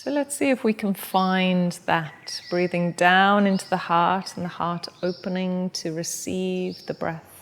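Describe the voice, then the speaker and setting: A woman who teaches yoga, leading a guided meditation in a soft, gentle, unhurried way. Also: fairly low pitch, long reverb tail, slight background noise. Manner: soft, slow and gentle guidance.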